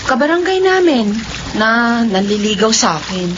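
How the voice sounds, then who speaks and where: An actress with a Filipino accent playing an earnest, sceptical young village daughter in a radio horror drama. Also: female voice, fairly high pitch, very animated, normal speed. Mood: sombre, earnest. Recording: muffled, noisy radio drama audio.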